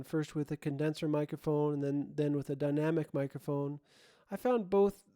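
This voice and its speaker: A man's voice: on the high side, dark tone. A man presenting a gear comparison in a casual, explanatory way, plain-spoken and feeling his way through his sentences. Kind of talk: casual explanatory demo talk.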